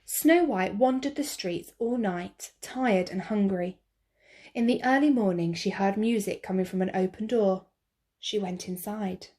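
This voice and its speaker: A woman teacher reading a picture book aloud to children, slow, clear and expressive. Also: medium pitch.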